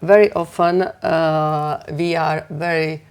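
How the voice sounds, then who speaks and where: A Slovak woman, a fashion designer and author, speaking English as a second language with a Slovak accent, pausing often with many fillers in a community TV interview. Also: low voice, steady tone, a little mellow, smooth. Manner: halting, many fillers and pauses, simple English.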